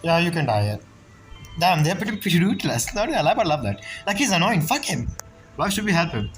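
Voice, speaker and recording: A woman's voice: on the low side, big pitch swings, quick pace. A woman giving casual, blunt, sweary gameplay commentary as a streamer, with non-native phrasing. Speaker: game streamer. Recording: loud game music behind.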